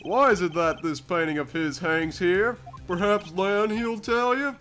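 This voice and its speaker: A man's voice: sits high, unhurried, fairly bright tone. A man voicing a pompous, arrogant corporate boss in a video game fandub, talking in grandiose, condescending phrases. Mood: menacing, dismissive. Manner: grandiose, affected wording, condescending. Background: music.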